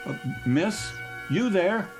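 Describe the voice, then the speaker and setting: A man's voice: sits high, lively intonation, slow, music in the background. An actor playing a weary, troubled businessman commuter in a radio drama, with a dry and hesitant manner. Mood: bewildered.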